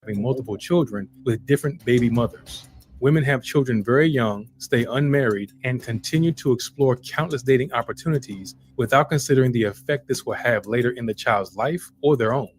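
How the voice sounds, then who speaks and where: A man reading a scripted video narration in a measured, even delivery. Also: mid-range voice, a little hiss or hum.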